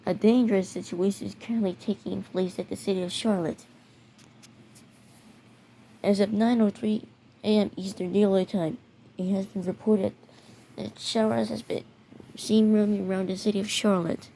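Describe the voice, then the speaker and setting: A mellow, mid-pitched female voice narrates a homemade video in a halting, amateur way. The delivery stumbles and repeats words. There is some noise behind the voice. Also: level tone, gravelly, low-quality recording. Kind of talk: amateur narration of a mock emergency alert scenario video. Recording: noticeable background noise.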